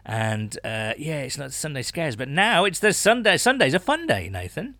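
A man's voice: fairly high pitch, sing-song, moderate pace, sharp tone, no room sound, studio quality. A British man hosting a casual sports podcast, talking in a chatty, rambling way and often correcting himself. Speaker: podcast host.

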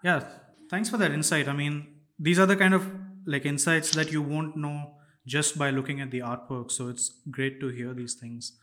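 A man's voice: a little high, steady tone, moderate pace, fairly bright tone. A man hosting a podcast interview, asking long, winding questions in a friendly, casual way. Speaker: podcast host.

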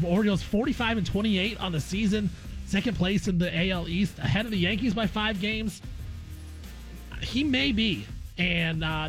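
A man hosting sports radio in a casual, opinionated way, repeating phrases for emphasis. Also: sits high. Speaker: sports radio guest host.

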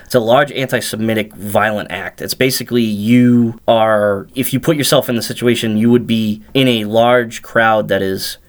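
A man's voice: fairly low pitch, steady tone, moderate pace, hardly any pauses. A man co-hosting a podcast, explaining history in long, detailed stretches and reading passages aloud from a book. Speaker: podcast co-host.

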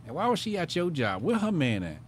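Loud joking man hosting a call-in show. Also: fairly high pitch, huge pitch swings, brisk, a little hiss or hum. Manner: loud, laughing, swearing street slang. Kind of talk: casual call-in show banter.

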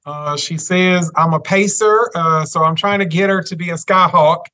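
Man, 50s, university leader type, bright forward tone, gracious podcast guest. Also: a little high, fairly even intonation, moderate pace. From Memphis, Tennessee. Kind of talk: podcast interview.

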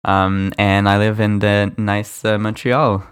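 A man's voice: on the low side, flat delivery, takes it slowly, clear, resonant tone. A young man co-hosting a casual podcast, chatty and filling his talk with likes and ums. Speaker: podcast co-host, product designer. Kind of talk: casual chat.

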